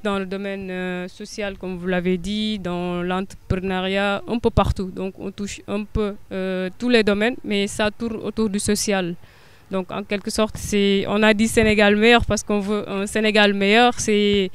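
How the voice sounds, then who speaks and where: A woman's voice: mid-range voice, clean tone. A young woman from Senegal with a Senegalese accent, a guest answering interview questions earnestly, hesitating often with filler sounds.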